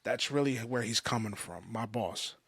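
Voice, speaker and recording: A man in his mid twenties giving a personal podcast monologue, halting and often restating himself for emphasis. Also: lowish voice, fairly bright tone, dry recording. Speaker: podcast host. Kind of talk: personal monologue, confessional storytelling.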